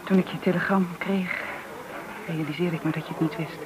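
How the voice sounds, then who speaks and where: An actress playing a composed, apologetic widow, speaking with restraint and some hesitation in a naturalistic style. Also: female voice, lowish voice, fairly even intonation, a little fast, noisy background, natural voice. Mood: sorrowful, restrained. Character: composed, apologetic young-sounding widow greeting old friend. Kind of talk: naturalistic radio play acting. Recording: dull radio recording, background crowd bustle.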